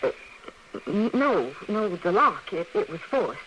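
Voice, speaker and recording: An actress playing an anxious, guilt-ridden frontier woman in an old radio western, halting and repeating words. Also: lowish voice, very animated, a bit bright, full character voice. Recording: faintly noisy vintage radio recording.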